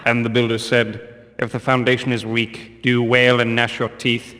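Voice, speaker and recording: An actor playing a grave cult preacher in a fantasy game, reciting scripture in solemn, archaic oratory. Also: male voice, mid-range voice, completely flat delivery, moderate pace, bright, forceful tone, close to an everyday voice. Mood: solemn, reverent. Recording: long reverberant hall echo.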